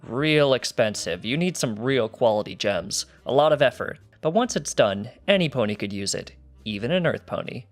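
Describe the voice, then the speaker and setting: A man's voice: mid-range voice, lively intonation, normal speed, few pauses, faint music behind, lightly acted. A man voicing a cocky, smug pony thief, boastful and teasing, in a fan fiction story reading. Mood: matter-of-fact. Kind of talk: fan fiction character dialogue.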